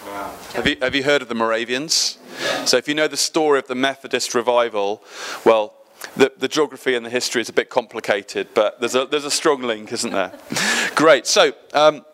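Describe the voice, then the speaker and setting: A man's voice: medium pitch, normal speed, hardly any pauses, a bit bright. A man leading a church service, who interviews guests in front of the congregation in an upbeat, cheerful way and laughs easily.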